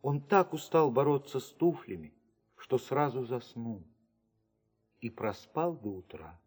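Expressive male storyteller over music. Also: mid-range voice, measured pace, husky. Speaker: fairy tale narrator. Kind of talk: scripted storytelling narration.

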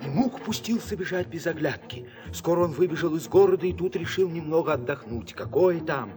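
Male storybook narrator. Animated, measured scripted delivery over prominent music. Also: a little high. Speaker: fairy tale narrator.

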